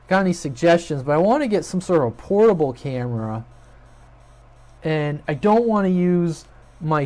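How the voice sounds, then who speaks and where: A man's voice: fairly high pitch, darkish tone. A man hosting a hobby video channel, talking informally and off the cuff to viewers, rambling a little as he thinks aloud. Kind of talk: casual, unscripted channel update to viewers. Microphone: USB webcam at a desk.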